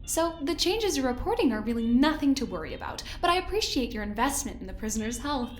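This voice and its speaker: An actress playing a smug corporate research director in an audio drama, falsely sweet, condescending and theatrically polite. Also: female voice, sits high, big pitch swings, quick pace, reverberant.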